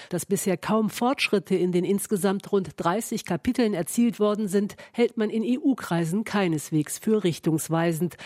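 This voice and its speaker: A woman giving a scripted radio news report in a measured, factual manner. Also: mid-range voice, mellow, no room sound, studio quality. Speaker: news correspondent.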